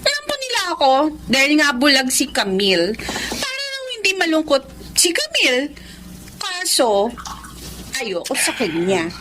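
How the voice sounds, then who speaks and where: High-voiced coy Filipina radio-drama mistress. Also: female voice, huge pitch swings, unhurried, bright, forceful tone, slight background noise, full character voice, low-quality recording. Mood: smug, scornful.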